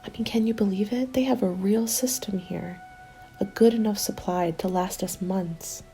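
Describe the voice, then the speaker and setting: A woman playing a hopeful survivor of a zombie apocalypse in an ASMR roleplay, soft-spoken and often slipping into whispers. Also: medium pitch, steady tone, moderate pace, natural voice. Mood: wistful, relieved. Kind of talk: ASMR roleplay, intimate storytelling. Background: background music.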